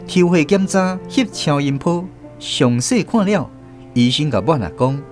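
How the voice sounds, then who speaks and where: Guy narrating a radio story, lively voice, a bit high, soft music under. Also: unhurried. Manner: steady storytelling. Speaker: host and narrator. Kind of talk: scripted narration.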